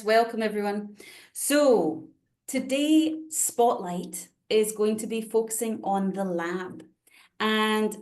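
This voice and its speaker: A woman's voice: fairly high pitch, a little mellow. A Scottish woman from Scotland hosting an online session over a video call, chatty and friendly, with frequent fillers. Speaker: webinar host.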